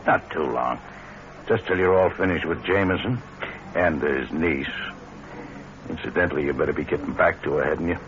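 An American actor playing a cool, menacing, slyly insistent small-time blackmailer in a 1940s radio crime drama. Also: male voice, very deep voice, normal speed, pauses often. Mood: smug. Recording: muffled, noisy old radio recording.

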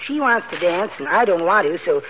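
A timid, gleeful henpecked little man from vintage radio comedy, heard through very heavy noise. His mincing, giggly delivery swings up and down in pitch. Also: sing-song, brisk. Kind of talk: 1940s radio comedy acting. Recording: noisy muffled old radio broadcast.

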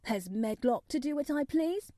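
Female narrator as sickly young boy, lordly, commanding, spoiled, soft-edged tone, dry close sound, storybook character. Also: sits high, animated, normal speed, no room sound, pitched above the performer's own voice. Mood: imperious. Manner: commanding, grand.

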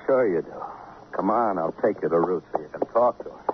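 An actor playing a surly, sneering husband, sarcastic and gravelly, in an old radio drama. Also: male voice, low-pitched, fairly quick, natural voice. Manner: sarcastic, needling. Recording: muffled, faintly noisy vintage radio.

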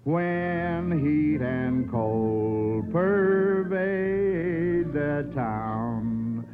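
A man's voice: fairly high pitch, animated, very slow, phone-quality sound, over music. A man hosting a 1950s radio children's quiz in a folksy, encouraging, informal way, on an old radio recording. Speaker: quiz show host.

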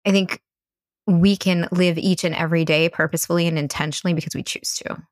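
Casting: female coach, American, slightly low voice, earnest motivational talk in a dry, close recording. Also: dry recording. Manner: encouraging, earnest. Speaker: mental coach, podcast guest. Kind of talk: conversational motivational advice.

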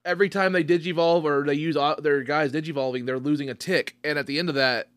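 A young adult man with an Oklahoma accent hosting a casual comedy podcast. He talks in an animated way and swears freely. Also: on the high side, fast, smooth, no room sound, studio quality. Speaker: podcast host.

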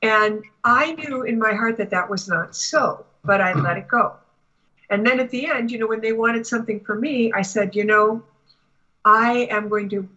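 An American woman talking conversationally as a guest spiritual teacher, explaining and telling anecdotes in a measured, deliberate way. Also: medium pitch, bright, forceful tone, husky, muffled recording. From United States. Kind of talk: conversational interview talk with anecdotes.

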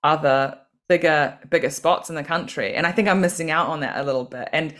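A woman's voice: low-pitched, brisk, fairly bright tone. A woman from New Zealand, a comedian and podcast guest, talking in a chatty, self-deprecating way and laughing often. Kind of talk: casual podcast interview.

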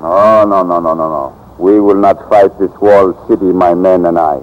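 An actor playing a cowardly, scheming pasha with halting, foreign-sounding phrasing in a 1940s-style radio drama. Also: male voice, deep voice, steady tone, natural voice. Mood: stubborn. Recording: dull vintage radio broadcast.

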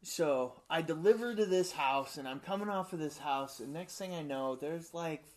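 A middle-aged man with a high-pitched voice, telling animated stories in a casual chat. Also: normal speed. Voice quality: high-pitched voice for his size. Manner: animated storyteller, acts out quotes with laughs.

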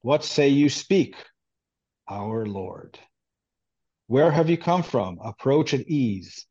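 A man's voice: medium pitch, animated, slow pace, dark tone, highs cut off. A man reading as a stern wartime sentry. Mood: challenging. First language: Hebrew. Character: stern wartime sentry guarding a post, male.

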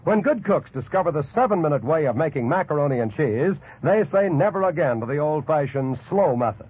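American male announcer, vintage radio sales pitch, slightly high voice, husky, dull old recording. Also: animated, fairly quick. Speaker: commercial announcer. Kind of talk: 1940s radio advertising pitch.

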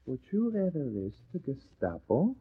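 Enemy spy ringleader, muffled narrow-band vintage radio, husky edge, imperious, silky threats, formal clipped phrasing, 1940s drama. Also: male voice, mid-range voice, wildly swooping pitch, moderate pace, exaggerated voice. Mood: stern, threatening. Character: menacing wartime German spy ringleader, cold, mocking, commanding.

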